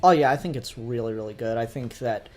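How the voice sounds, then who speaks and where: A man's voice: medium pitch, fairly quick, darkish tone, faint music behind. A man talking casually as a podcast host, explaining his opinions in an earnest, enthusiastic way. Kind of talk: casual review discussion.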